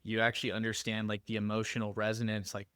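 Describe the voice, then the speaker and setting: Low-voiced man, halting podcast host. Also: monotone, fairly bright tone, smooth, dry recording, clean studio sound. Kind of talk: casual interview conversation.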